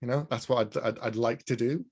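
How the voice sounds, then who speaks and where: A man's voice: medium pitch, steady tone, a little fast, darkish tone, slightly rough. A man from Newcastle hosting an interview, chatting agreeably with his guest over a video call. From Newcastle, England. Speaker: interview host. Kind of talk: casual interview conversation.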